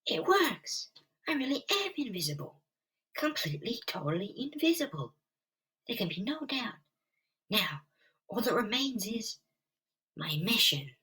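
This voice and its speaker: A man's voice: high voice, very animated, a little slow, pauses often, sharp tone, voice pushed up, exaggerated voice. Guy doing a young superhero lady, swoopy n dramatic, determined, a bit far from the mic. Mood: thrilled. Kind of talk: dramatic comic-book voice acting.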